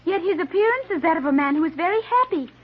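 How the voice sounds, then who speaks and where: Solemn sheltered girl, extremely high voice, careful formal diction, radio serial, dull vintage sound. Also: expressive, fast talker. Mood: solemn, worried. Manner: formal, precise diction without contractions. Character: earnest, solemn girl raised apart from the world. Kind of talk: old-time radio serial acting. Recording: muffled vintage radio recording.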